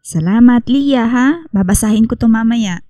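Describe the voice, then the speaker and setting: An actress playing a kind, motherly female schoolteacher in a radio drama, speaking gently and affectionately. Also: a little high, normal speed, no room sound, lightly acted. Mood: caring, curious. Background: music under the voice.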